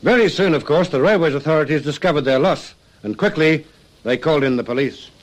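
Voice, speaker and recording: A man's voice: on the high side, fairly quick, poor audio. A man narrating a scripted radio crime drama.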